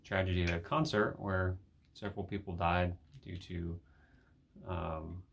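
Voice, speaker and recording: A man co-hosting a casual couple chat, low-key and sparing with words, mostly giving short replies. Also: level tone, a little slow, vocal fry. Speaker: co-host, husband.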